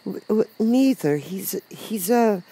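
A man voicing a little girl in a storybook, anxious yet bold and quick to scold. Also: high voice, animated, mellow, husky, voice pushed up, heavily acted. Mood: indignant. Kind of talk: storybook character voice.

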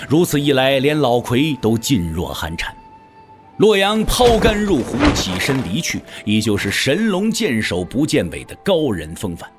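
A man with a husky voice giving dramatic narration for a wuxia audio drama. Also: mid-range voice, huge pitch swings, normal speed, faint music behind.

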